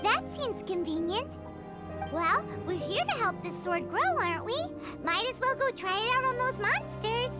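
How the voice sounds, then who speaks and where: A woman voicing a small, cheeky, excitable childlike fairy companion in a video game, with a squeaky voice and playful exclamations. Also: moderate pace. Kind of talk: video game character acting. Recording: background music under the voice.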